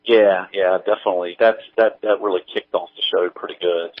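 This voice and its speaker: A man speaking as an interview guest, chatting casually in a halting, folksy, informal way. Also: low-pitched, steady tone, no room sound. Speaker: guest, audio drama creator. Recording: tinny, phone-like band-limited line.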